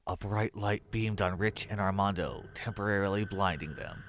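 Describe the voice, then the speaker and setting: A man's voice: sits low, lively intonation, normal speed, gravelly, background noise. A man narrating a scripted horror story as its storyteller.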